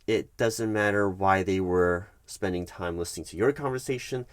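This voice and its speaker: A man doing a soft-spoken ASMR roleplay as a polite, evasive HR manager, speaking in measured, hesitant, formal office phrases. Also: low voice, no echo. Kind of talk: soft-spoken ASMR roleplay, one-sided workplace meeting.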